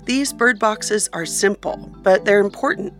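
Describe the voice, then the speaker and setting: A woman's voice: mid-range voice, unhurried, faint music behind. A woman reporter reading scripted narration for a radio feature.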